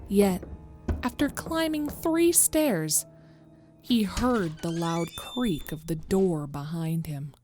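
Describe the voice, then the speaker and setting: A woman's voice: on the low side, expressive, slow pace, a little mellow, faint music behind. A woman reading scripted narration of a horror story as the narrator.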